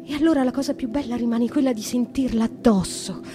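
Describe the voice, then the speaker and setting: An actress playing a brooding young noblewoman imprisoned in a dark cell, speaking in a lyrical, slow, reflective way in a radio drama monologue. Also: on the high side, over music, lightly acted. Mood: dreamy, wistful.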